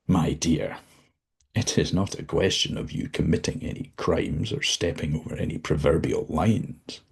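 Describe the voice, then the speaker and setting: A man's voice: very deep voice, a little slow, creaky, breathy edge, heavily acted. A man voicing the devil as a formal, condescending and menacing figure. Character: the devil, suave, menacing, all-knowing male.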